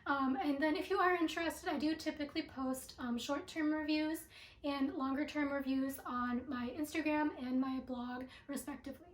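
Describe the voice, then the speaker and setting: High-voiced raspy young woman vlogging. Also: fairly even intonation, normal speed. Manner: chatty with many ums and likes. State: raspy from allergies. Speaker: beauty product reviewer. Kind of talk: casual product review vlog. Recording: echoey room.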